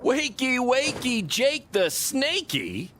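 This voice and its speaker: A man's voice: extremely high pitch, huge pitch swings, takes it slowly, a bit bright, a little hiss or hum, heavily acted. A man playing a brash, scheming wrestling promoter in a video game cutscene, talking like a self-satisfied, theatrical salesman. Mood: teasing, gleeful.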